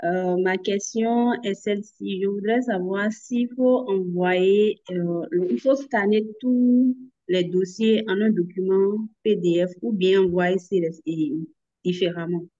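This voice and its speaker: Female applicant on a video call, slow and hesitant, mellow tone, dull dry audio. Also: medium pitch, steady tone. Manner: hesitant and polite. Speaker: applicant asking a question. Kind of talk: question in an online meeting.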